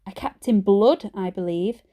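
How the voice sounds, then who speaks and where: A woman's voice: mid-range voice, unhurried, dark tone, natural voice. A woman voicing a stern but fond old granny in a storybook, with an emphatic, scolding manner. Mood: wistful, confiding.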